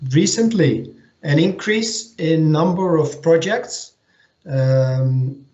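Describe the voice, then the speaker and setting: A man giving an online technical presentation as a technical manager, speaking hesitantly with many pauses and restarts. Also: on the high side, darkish tone. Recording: echoey lo-fi video call audio.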